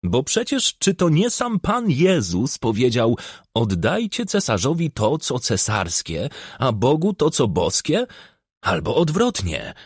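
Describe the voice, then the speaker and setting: A man narrating a crime novel as an audiobook in speech, with measured, expressive storytelling. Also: medium pitch, husky, no echo, clean studio sound.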